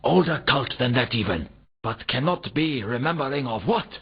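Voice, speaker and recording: Eager, very raspy garbled-speaking foreigner. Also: male voice, medium pitch, animated, normal speed. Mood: earnest, eager. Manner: garbled, stilted word order, pompous long words. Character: eager foreign male archaeology worker with mangled grammar. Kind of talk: comic video game dialogue. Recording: dull, lo-fi game audio.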